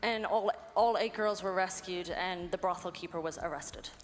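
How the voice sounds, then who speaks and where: A woman in her thirties from Ireland, giving an earnest testimony on stage in short measured phrases. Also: on the low side. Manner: earnest, measured, pausing for interpreter. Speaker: missionary guest. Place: large hall. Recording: echoey hall with music behind.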